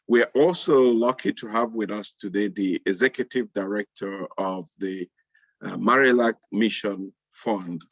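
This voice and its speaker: Low-pitched man hosting, halting delivery, muffled audio. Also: dry recording. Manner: halting, repeats words. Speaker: panel host. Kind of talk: live webinar hosting and introductions. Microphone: video call. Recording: muffled online call audio.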